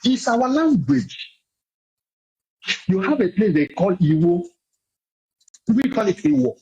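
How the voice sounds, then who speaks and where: A man's voice: sits high, big pitch swings, takes it slowly, mellow, husky, muffled recording. A man making an impassioned, insistent speech, repeating his points for emphasis, heard as a played clip.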